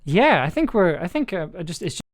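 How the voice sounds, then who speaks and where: A streamer talks casually through an audio edit in a medium-pitched voice. The delivery is halting and circles back over words, with some keyboard typing behind it. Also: big pitch swings. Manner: halting, repeats words and phrases. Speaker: streamer, audio drama producer and editor. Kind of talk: casual livestream commentary while editing.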